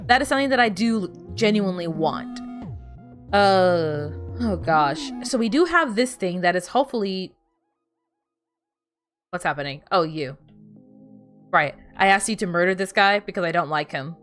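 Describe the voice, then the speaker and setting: A woman's voice: lowish voice, lively intonation, normal speed, pauses often, a bit bright, soft background music. A woman whose first language is Spanish, chatting casually with viewers while she plays a video game, rambling and often restarting her sentences. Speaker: streamer.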